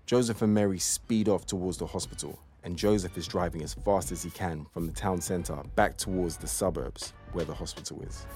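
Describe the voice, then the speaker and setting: A man's voice: deep voice, normal speed, few pauses, a little mellow, lightly acted. A man narrating a modern Christmas audio play as a scripted storyteller. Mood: measured storytelling. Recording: music bed under the narration.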